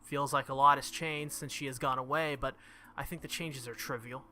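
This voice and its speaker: A man's voice: medium pitch, steady tone, normal speed, sharp tone, slight background noise. A man reading visual novel narration aloud and reacting casually as a gaming video host, with music behind him. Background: game music.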